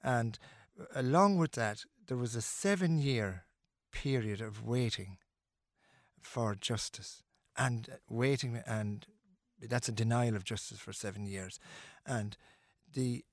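A man's voice: mid-range voice, slow, no room sound, studio quality. A middle-aged Irish man, a musician being interviewed, talking earnestly and emphatically with many restarts and fillers. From Ireland.

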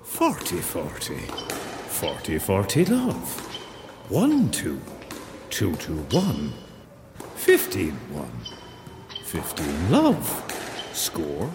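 An actor playing a gruff, commanding man in a radio drama, with a gravelly voice. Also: low-pitched, huge pitch swings, drawn-out words, pauses often, background noise, exaggerated voice. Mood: deliberate, announcing. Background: music.

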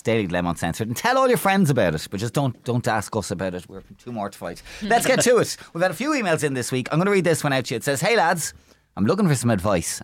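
A man's voice: mid-range voice, no room sound, studio quality. A man with an Irish accent co-hosting a comedy podcast, joking around and reading a listener's email aloud in a playful way. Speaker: podcast co-host. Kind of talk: casual comedic chat.

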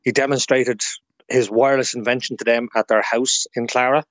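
A man's voice: medium pitch, steady tone, a little fast, a bit bright, no room sound. An Irish man speaking as an expert guest, telling history informally in an interview. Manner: informative, storytelling. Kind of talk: informal explanatory interview talk.

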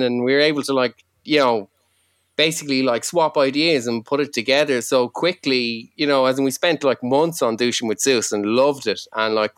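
Rambling musician, quick bursts. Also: male voice, mid-range voice, fairly bright tone, dry recording, studio quality. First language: Irish. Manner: fast, rambling, many likes and you-knows. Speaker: musician guest. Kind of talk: casual interview answers.

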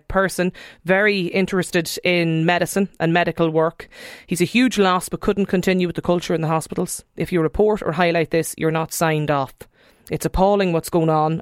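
A man hosts a radio show and reads listener emails aloud, measured and steady, pausing now and then. His voice sits a little high and sounds smooth and resonant. The studio is dry and close. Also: no echo. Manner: measured, steady reading with small hesitations. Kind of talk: radio phone-in show, reading messages aloud.

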